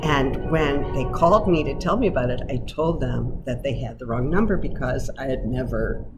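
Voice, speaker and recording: A woman with a deep, low register talks about her singing in a relaxed radio interview. She is chatty and self-deprecating and laughs often. Loud music plays throughout behind her voice. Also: normal speed. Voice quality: lower voice. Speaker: cabaret singer, guest. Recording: music playing loudly underneath.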